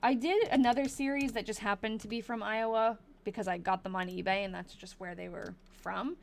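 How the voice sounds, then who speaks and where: A woman from Massachusetts hosting a live stream, reading an old diary aloud in a casual way, thinking out loud and slowing down over hard words. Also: mid-range voice, steady tone, gravelly.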